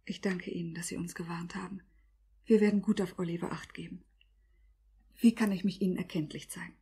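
An actress playing a gentle, well-bred young lady in a 1950s German radio drama, polite, hushed and earnest. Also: lowish voice, fairly even intonation, fairly quick, slightly rough. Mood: grateful, concerned.

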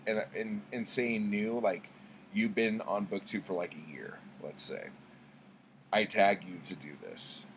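A man's voice: on the low side, slight background noise. A middle-aged man talking casually and conversationally to camera in a rambling, slangy, profane way, as a vlogger at home. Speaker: book vlogger. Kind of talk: casual chat, rambling storytelling. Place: small indoor room at home with bookshelves.

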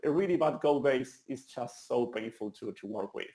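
A man's voice: medium pitch, lively intonation, normal speed, warm tone. A man in his thirties from Argentina with an Argentinian accent, giving an earnest, enthusiastic conference talk. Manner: earnest, enthusiastic, some restarts. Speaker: conference speaker. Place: meetup venue hall. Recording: roomy hall sound.

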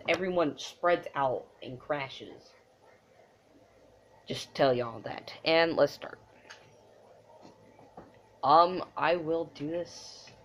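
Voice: medium pitch, slight background noise. A gamer recording a casual let's play in front of a TV. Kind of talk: casual let's play commentary, reading intro text aloud. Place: room in front of a TV screen. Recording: dull muffled recording with loud game music behind. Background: loud game music from a TV.

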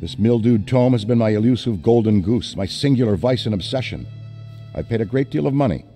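An actor playing an excitable middle-aged occult scholar who rambles eagerly with hesitant fillers. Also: male voice, mid-range voice, a little fast, faint music behind, natural voice. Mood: enthusiastic.

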